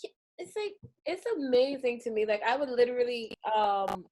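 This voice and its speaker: A woman's voice: fairly high pitch, lively intonation, normal speed, a little mellow. A woman from Guyana hosting an interview, chatting casually and animatedly and laughing often. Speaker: podcast host.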